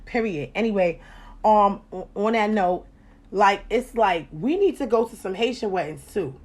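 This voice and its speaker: A woman hosting a casual podcast chat, animated and profane, her voice jumping loud and high when she gets excited. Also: normal speed. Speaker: podcast host.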